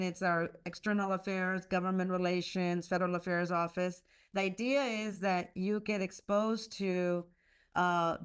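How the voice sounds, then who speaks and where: A woman's voice: mid-range voice, clear, resonant tone. A woman who grew up speaking Spanish, answering interview questions earnestly over a video call, with many fillers and restarts. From United States. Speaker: leader of a leadership institute, guest.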